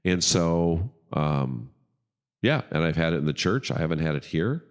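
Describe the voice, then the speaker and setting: A man's voice: low-pitched, animated, normal speed, clear, resonant tone. A middle-aged man with a big voice, a pastor hosting a casual podcast chat and talking emphatically, often checking in with "okay?".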